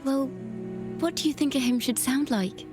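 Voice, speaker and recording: A woman's voice: sits high, normal speed, natural voice. Woman playing a poised, coaxing bard mentor. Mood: encouraging. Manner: gently coaxing, lyrical. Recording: music behind the voice.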